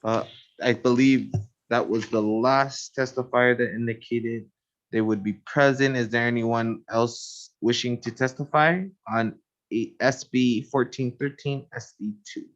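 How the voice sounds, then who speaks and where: A man's voice: mid-range voice, fairly even intonation, a little slow. A man chairing a legislative hearing, calling testifiers in a procedural, matter-of-fact way. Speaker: committee chair. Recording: dull lo-fi audio.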